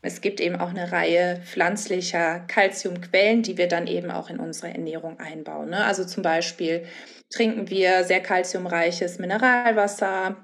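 Lowish-voiced woman explaining as an expert. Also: fairly even intonation, normal speed. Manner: frequent tag questions. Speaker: nutrition expert interviewee. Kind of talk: spontaneous explanatory interview talk.

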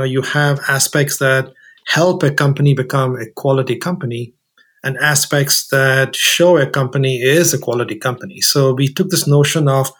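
A man's voice: medium pitch, breathy edge. A man speaking as an expert interview guest, explaining things methodically and setting out his points in order.

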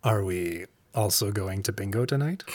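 An actor playing an affectionate, joking middle-aged husband in a naturalistic audio drama, with a playful, eager, self-deprecating manner. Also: male voice, lowish voice, moderate pace, darkish tone, natural voice. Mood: teasing, apologetic.

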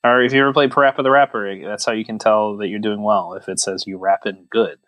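A man's voice: on the low side, steady tone, fast talker, a bit bright, no room sound, dull audio. A man co-hosting a podcast, chatting casually about films in a wry, mock-serious way. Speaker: podcast host. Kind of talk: casual joking film chat.

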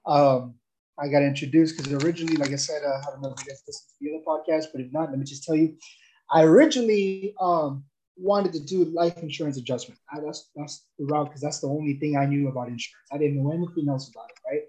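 Man, young adult, from El Salvador, rambling testimonial, fillers and restarts, slightly high, mellow. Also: fast talker, low-quality recording. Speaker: guest giving a testimonial. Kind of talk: casual testimonial chat.

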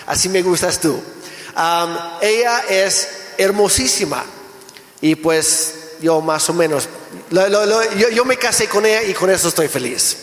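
A man from Canada, a native English speaker, preaching a relaxed sermon in Spanish with jokes and restarts. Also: high-pitched. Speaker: pastor. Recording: echoey hall with long reverb.

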